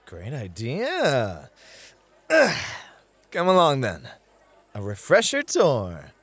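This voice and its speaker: A man's voice: medium pitch, wildly swooping pitch, extremely slow, a bit bright, dry recording, heavily acted. A man playing a charming, gallant club host in an ASMR roleplay, flirty and teasing in an effusive, courtly way. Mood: explaining.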